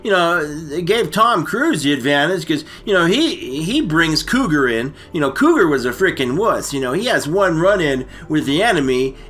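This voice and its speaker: A man co-hosting a casual comic movie recap, rambling and halting, full of slang. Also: a little high, sing-song, a bit bright. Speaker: comedy storytelling co-host.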